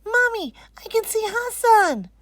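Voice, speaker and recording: A woman voicing an excitable little girl full of wonder in a children's storybook, exclaiming and drawing out her words. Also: very high-pitched, a little slow, no room sound, pitched above the performer's own voice, exaggerated voice. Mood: excited.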